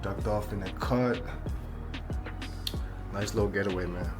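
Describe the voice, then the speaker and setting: Young male vlogger, low voice, easygoing slang, light music bed behind. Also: a little slow. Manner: relaxed, slangy, conversational. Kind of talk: casual vlog talk to camera.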